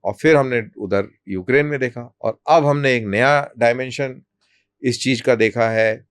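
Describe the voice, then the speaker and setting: A man speaking as an interview guest, explaining in measured, deliberate short phrases with pauses. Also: medium pitch, clean tone, no echo. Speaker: army colonel, interview guest.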